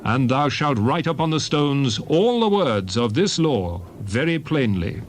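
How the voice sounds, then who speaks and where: A man narrating scripture as a dramatized reading, solemn, measured and declamatory. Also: medium pitch, big pitch swings, slight background noise. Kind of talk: dramatized Bible reading in archaic English.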